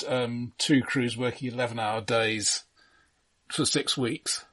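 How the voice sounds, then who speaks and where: A man co-hosting a casual film discussion, speaking in a halting, measured and dryly understated way. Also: medium pitch, steady tone, fairly bright tone. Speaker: film podcast co-host.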